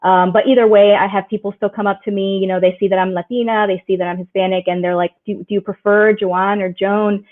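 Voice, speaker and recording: A woman's voice: mid-range voice, little pitch movement, fast, hardly any pauses. A woman from Puerto Rico moderating an online panel discussion and asking guests follow-up questions. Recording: tinny phone-like audio.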